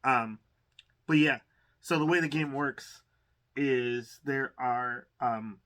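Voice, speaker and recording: A man's voice: mid-range voice, slow pace, fairly bright tone. A man hosting an unboxing in a casual, hesitant, rambling way, thinking aloud while a little under the weather. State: under the weather, occasional cough. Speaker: host of a collector's unboxing channel.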